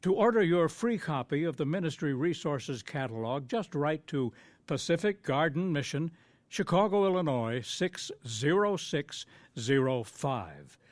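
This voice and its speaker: A man reading scripted radio announcements in a formal, clearly enunciated way. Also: a little high, big pitch swings, measured pace, dry recording.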